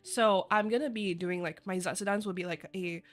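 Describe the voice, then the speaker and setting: A woman whose first language is Chinese, chatting casually with her viewers on a live stream, bubbly and quick to giggle. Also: mid-range voice, fairly even intonation, faint music behind. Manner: bubbly and giggly, laughs often; chatty, rambling asides.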